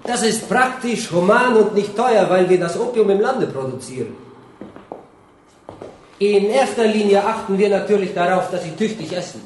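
An actor playing a smug young general-dictator in a German radio drama, gushing with oily familiarity and rambling on with jovial menace. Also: male voice, sits high, animated, normal speed, a little hiss or hum. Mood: smug, proud. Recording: roomy, echoing studio scene.

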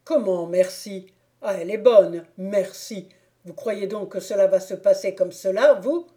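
A woman's voice: on the low side, measured pace, warm tone, lightly acted. A woman voicing a drunken young clog-maker who is touchy, quarrelsome and boastful. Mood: indignant.

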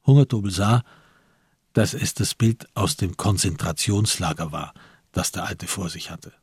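A man narrating a radio crime drama in a measured, sober, literary manner, with a husky voice. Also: deep voice, no echo, clean studio sound, exaggerated voice.

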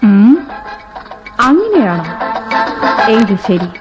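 A woman's voice: mid-range voice, big pitch swings, extremely slow, muffled recording, loud music behind the voice. A woman playing a defiant, scheming woman who threatens to return and claim the family house, in a radio play. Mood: taunting. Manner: taunting, threatening. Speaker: radio drama actor. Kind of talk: 1980s Indian radio play acting.

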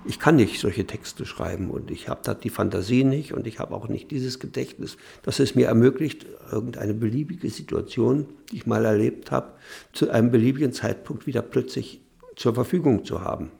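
An older man reflecting in an interview, speaking haltingly and searching for his words. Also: mid-range voice, gravelly, mellow. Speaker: interviewee, a writer's son. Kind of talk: reflective spoken recollection.

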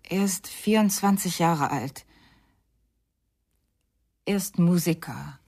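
A husky, anxious mother. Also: on the low side, slow pace, darkish tone, exaggerated voice. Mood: subdued, strained. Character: anxious, determined middle-aged mother of a missing son. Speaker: radio drama actor. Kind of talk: 1990s German radio crime drama acting.

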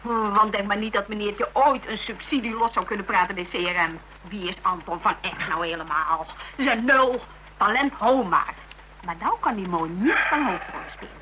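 A woman's voice: mid-range voice, normal speed, sharp tone, a little hiss or hum, close to an everyday voice, poor audio. An actress playing a scornful, outspoken woman in a radio play, sarcastic, mocking and emphatic. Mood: sarcastic, scathing.

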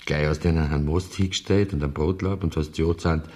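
An actor playing a condemned poacher in a German radio play, a wistful, plain-spoken rustic son with a Bavarian accent. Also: male voice, very deep voice, steady tone, fast talker, mellow. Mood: wistful reminiscing.

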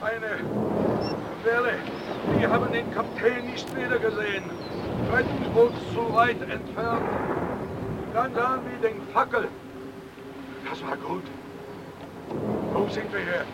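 A man's voice: very high voice, fairly even intonation, slow pace, long pauses. A male actor playing a weary, shaken shipwrecked German helmsman with a German accent, speaking in terse phrases in a radio play. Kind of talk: radio drama acting. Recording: loud wind and sea noise.

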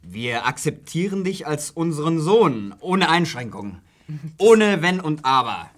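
A man's voice: a little high, sing-song. Stiff, awkward middle-aged father. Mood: stiffly reassuring. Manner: halting, stiff, paternal. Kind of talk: radio play dialogue.

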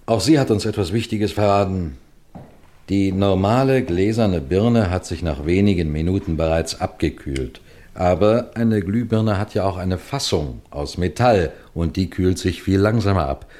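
An actor playing a seasoned, methodical detective chief in a 1960s German radio crime drama, lecturing with deliberate precision. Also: male voice, deep voice, mellow, natural voice. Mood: measured explaining.

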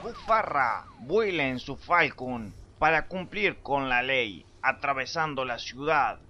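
A man's voice: mid-range voice, animated, slow, sharp tone, a little hiss or hum. Overblown radio drama announcer, man. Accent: Rioplatense Spanish.

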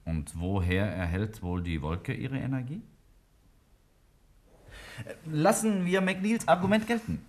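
A man's voice: medium pitch, lively intonation, slow pace, long pauses, darkish tone. A man acting a self-assured scientist in a 1950s German radio play, arguing didactically and emphatically with slight irony. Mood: pointed, ironic. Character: Kingsley, self-assured male scientist arguing a bold theory.

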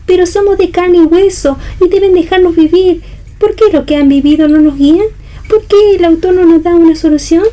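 A woman acting an earnest, passionate young female in a radio play. Her voice is very high yet soft-edged and mellow. She declaims in a theatrical way. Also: moderate pace. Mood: earnest pleading. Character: earnest pleading young girl, later brazen passionate mistress.

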